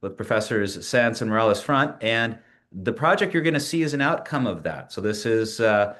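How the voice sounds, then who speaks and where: A man's voice: on the low side, lively intonation, fairly bright tone. Man in his forties presenting. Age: 40s. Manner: measured, with frequent "uh" and restarts. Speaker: PhD student presenting a project. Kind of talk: presentation narration over screen share.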